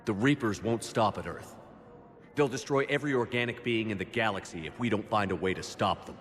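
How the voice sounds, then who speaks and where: A man's voice: on the low side, a little fast, big-room sound, close to an everyday voice. A man playing a resolute, earnest military commander in a video game, terse and firm. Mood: resolute, urgent. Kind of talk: dramatic video game acting.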